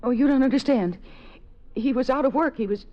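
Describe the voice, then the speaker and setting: A woman's voice: high voice, fairly quick, natural voice. An actress playing a young wife pleading her husband's innocence and narrating the story in an old radio drama. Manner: earnest, pleading, urgent. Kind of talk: 1940s radio acting, first-person narration. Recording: dull old radio recording.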